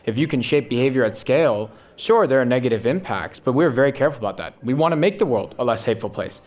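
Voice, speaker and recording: A young man, a startup founder on a conference panel, talking quickly and eagerly, often tagging his points with "right?". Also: mid-range voice, lively intonation, darkish tone. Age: young adult. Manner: rapid, punctuates with "right?". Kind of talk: fast, enthusiastic pitch talk. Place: conference stage before an audience.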